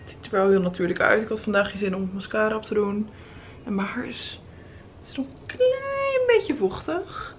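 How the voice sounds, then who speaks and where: A young woman in her twenties vlogs from arm's length, upbeat and chatty in an easy mid-range voice. The camera audio is noisy, with a steady wash of background sound under her words. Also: medium pitch, normal speed. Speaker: travel vlogger. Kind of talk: casual travel vlog. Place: outdoors, hotel rooms and a spa on holiday. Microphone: handheld camera at arm's length.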